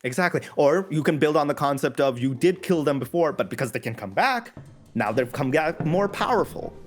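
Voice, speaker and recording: A man's voice: fairly high pitch, animated, fairly quick, soft background music. A man co-hosting a casual podcast, talking in long rambling turns with restarts and frequent laughter. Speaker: podcast co-host. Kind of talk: casual chat about tabletop games.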